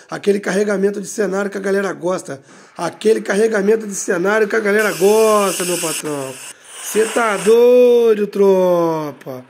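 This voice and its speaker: A man with a Rio de Janeiro accent, hoarse from a cold, giving hyped slangy commentary over a bus game, each phrase ending on a low quiet tag word. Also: a little hiss or hum. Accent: Brazilian Portuguese, Rio de Janeiro. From Brazil. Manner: slangy, drags out catchphrases, tag words drop low and quiet. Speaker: game streamer.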